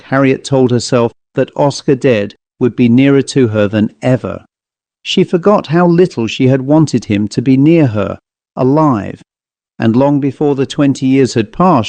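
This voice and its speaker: A man reads a classic ghost story aloud with measured, deliberate pacing. His voice is mellow and dark. The recording is dry and close, with no room sound. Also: medium pitch, dry recording. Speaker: audiobook narrator. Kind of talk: scripted narration of a ghost story.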